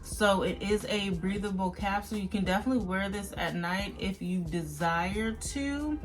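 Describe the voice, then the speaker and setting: A woman's voice: medium pitch, moderate pace, soft background music. A woman hosting a beauty vlog, talking casually and directly to viewers in an informal, chatty review style. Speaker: beauty vlogger and host.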